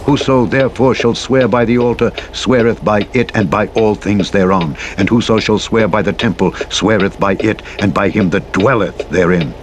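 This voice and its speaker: A man's voice: fairly even intonation, moderate pace, hardly any pauses. A man reading the Bible as a dramatic audiobook narrator, in a solemn, declamatory and emphatic manner. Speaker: scripture narrator. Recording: very loud vehicle engine noise behind. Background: truck driving game engine rumble.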